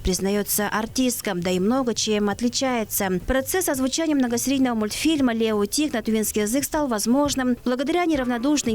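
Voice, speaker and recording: A woman's voice: on the high side, darkish tone. A woman reporter reading a scripted news narration as a voice-over.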